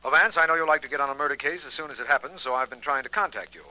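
Brisk DA, American, sharp-edged fast delivery, businesslike, vintage radio crime drama. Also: male voice, mid-range voice, low-quality recording. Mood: brisk, surprised. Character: brisk, forceful male district attorney. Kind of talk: 1940s radio mystery acting.

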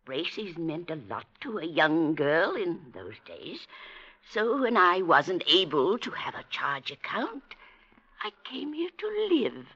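An actress playing an imperious, querulous matriarch past eighty in an old-time radio drama, speaking in a slow theatrical way. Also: female voice, lowish voice, huge pitch swings, fairly bright tone, husky, full character voice. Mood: wistful. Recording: dull vintage radio recording.

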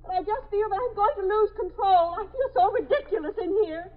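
A woman's voice: very high voice, fairly quick. An actress playing an anxious, impatient young woman in a 1950s radio drama, plaintive and insistent. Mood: close to tears. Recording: dull 1950s radio broadcast recording.

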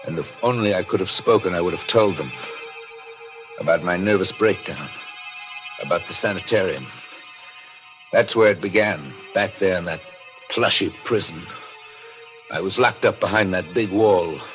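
An actor playing an anguished, dying gunshot victim who tells his own story haltingly and melodramatically in 1940s radio drama style. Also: deep voice, highs cut off, close to an everyday voice. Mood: bitter, brooding. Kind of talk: 1940s radio drama acting, first-person narration. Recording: old radio broadcast with organ music.

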